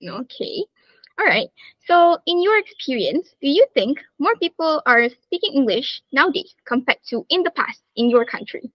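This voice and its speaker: A woman's voice: high voice, sing-song, moderate pace, no room sound, dull audio. A woman interviewing a student, reading prepared questions with many "all right" and "okay" transitions.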